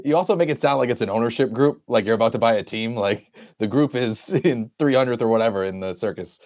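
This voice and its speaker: A man who is a sports writer on a podcast, a little raspy and under the weather, laid-back and laughing along. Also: medium pitch, fast, a little mellow, no echo, studio quality.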